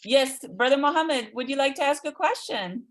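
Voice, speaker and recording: A woman's voice: sits high, animated, a little fast. A woman hosting a live online event for children, talking informally and directly to listeners as she makes announcements. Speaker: host of an online read-aloud event.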